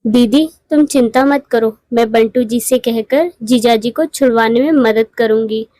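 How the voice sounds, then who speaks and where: Woman voicing humble, high-pitched little-sister bird. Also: measured pace, mellow, dry recording, lightly acted. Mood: earnest. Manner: polite, earnest. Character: humble, honest poor married female bird, younger sister. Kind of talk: Hindi moral cartoon voice acting.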